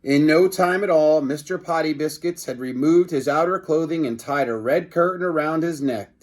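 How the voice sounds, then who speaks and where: A man's voice: fairly high pitch, moderate pace. A man reading a children's story aloud as narrator, with brief asides to the listeners. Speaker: storybook reader.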